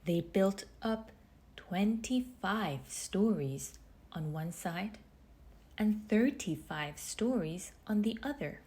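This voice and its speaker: A woman reading a children's storybook aloud in measured phrases with deliberate pauses, her husky voice turning breathy as phrases end. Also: medium pitch, animated, mellow. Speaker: storybook reader. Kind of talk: children's read-aloud narration.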